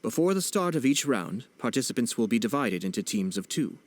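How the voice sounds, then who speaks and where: An actor playing a poised young nobleman in a video game, speaking in a formal, measured, politely wry way. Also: mid-range voice, very animated. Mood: explanatory, calm. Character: poised young nobleman, courteous, composed and subtly teasing. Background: background music.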